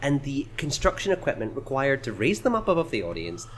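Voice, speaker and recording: A man co-hosting a casual games podcast, dry and rambling, riffing deadpan. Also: mid-range voice, a little fast. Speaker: podcast co-host.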